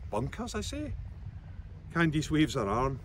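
A man's voice: fairly high pitch, huge pitch swings, unhurried. A man in his fifties from Scotland, an author reading narrative prose aloud from his own book, outdoors. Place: outdoors by a seafront concrete wall. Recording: outdoor recording with background noise.

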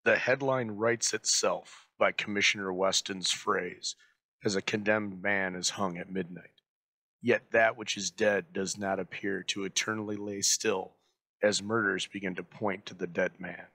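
A man presenting a scripted introduction in a formal, measured way. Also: fairly low pitch, bright, forceful tone.

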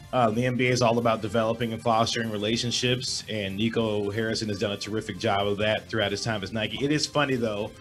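A middle-aged man with a hoarse voice, a sports reporter giving measured analysis as a guest over a video call. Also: medium pitch, a bit bright. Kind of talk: remote sports talk interview. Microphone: webcam with desk microphone, video call.

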